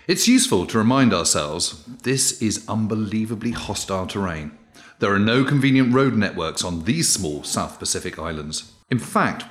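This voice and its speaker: A man hosting and narrating a history podcast. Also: fairly low pitch. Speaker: host, narrator.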